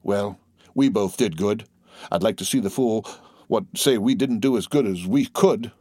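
A man's voice: medium pitch, a little fast, no room sound, studio quality, voice pushed up, natural voice. A man voicing a young soldier, philosophical but easily exasperated, with plain rustic speech. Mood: tranquil, soothing.

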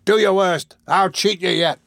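A man's voice: on the high side, moderate pace, vocal fry, fairly bright tone, dry recording. A man voicing a desperate, violent murderer in a storybook, with fierce, commanding outbursts. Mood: defiant, raging.

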